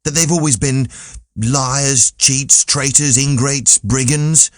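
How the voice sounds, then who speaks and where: A man's voice: medium pitch, steady tone, slow pace, no echo, clean studio sound, voice pushed up, natural voice. A man voicing a naive, earnest, wide-eyed young man full of questions in an audiobook. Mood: earnest, indignant. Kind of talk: audiobook character voice.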